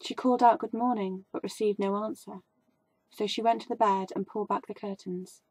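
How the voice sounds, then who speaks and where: A woman's voice: mid-range voice, fairly even intonation, brisk, a little mellow, slightly rough, no echo, rough recording. A woman reading a fairy tale aloud as a bedtime story. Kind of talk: storybook narration.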